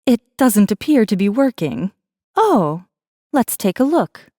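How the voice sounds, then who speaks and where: Woman voicing a high, chirpy corporate receptionist. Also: big pitch swings, unhurried, dark tone, dry recording, studio quality. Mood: flustered, apologetic. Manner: courteous, formal customer-service delivery. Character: polite, flustered young female corporate receptionist, bright and chirpy.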